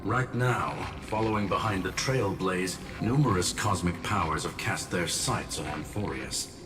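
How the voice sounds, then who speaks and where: A composed, resolute male strategist from a video game. His voice is fairly low and formally assured. It echoes from a distance with music behind. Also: a little slow. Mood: firm, challenging. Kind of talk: scripted game voice acting. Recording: distant echoing voice over music.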